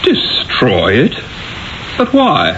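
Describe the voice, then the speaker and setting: An actor playing a proud, reflective Renaissance master painter who tells his own story in a radio drama, theatrical and deliberate. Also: medium pitch, huge pitch swings, sharp tone, a little hiss or hum, full character voice. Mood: courteous, puzzled. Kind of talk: 1970s radio drama acting and narration. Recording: dull lo-fi radio broadcast recording.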